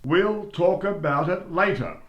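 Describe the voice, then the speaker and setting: A man's voice: high voice, animated, measured pace, reverberant, highs cut off. A man playing a fussy, self-important radio actor in a comic radio play. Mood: fussy, flustered.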